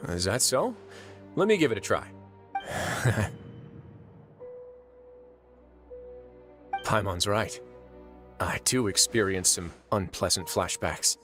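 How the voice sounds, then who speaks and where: An actor playing a composed, self-assured nobleman official in a video game, wry, measured and polite. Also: lowish voice, expressive, music in the background. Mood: curious. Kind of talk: video game character acting.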